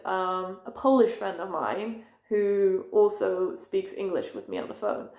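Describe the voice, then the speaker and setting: A woman's voice: mid-range voice, a little mellow, reverberant. A young woman, talking casually to camera in a rambling, self-correcting way with many fillers. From Netherlands. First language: Dutch. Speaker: vlogger. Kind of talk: casual personal talk to camera.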